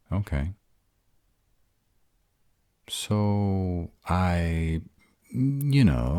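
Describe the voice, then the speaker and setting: A man playing a very deep, bashful were-dragon lover. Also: mellow, no echo, clean studio sound, full character voice. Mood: eager, nervous, then tender, hesitant. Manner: hesitant, earnest, tender, easily flustered. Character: gruff, bashful male were-dragon lover in human form. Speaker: voice actor in roleplay audio. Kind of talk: intimate romantic audio roleplay.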